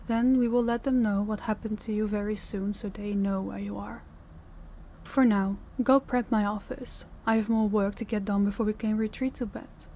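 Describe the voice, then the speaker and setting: Woman acting as female mafia boss, soft dark tone, stern, measured, condescending, intimate audio roleplay, faint background noise. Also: medium pitch, close to an everyday voice. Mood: measured, commanding.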